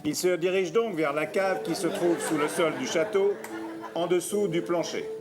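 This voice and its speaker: Man as a high-voiced mock-solemn narrator. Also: normal speed, over music, crowd noise, natural voice. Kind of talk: scripted comic narration.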